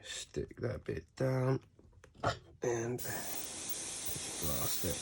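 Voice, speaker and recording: A man's voice: medium pitch, very animated, very slow, vocal fry, a little mellow, a little hiss or hum. A man with a stuffy nose from a cold, casually rambling through a how-to tutorial and swearing now and then. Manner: rambling, swears casually, corrects himself mid-sentence. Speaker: sneaker customizer.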